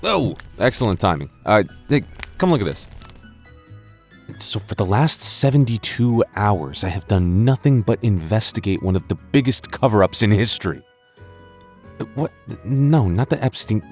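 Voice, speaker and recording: A man playing an obsessive, sleepless conspiracy investigator in a comic outro skit as channel host, halting and excitable. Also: lowish voice, faint music behind. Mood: mock-urgent. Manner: excitable, halting, mock-urgent. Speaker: roleplay audio channel host. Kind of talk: comedic outro skit to listeners.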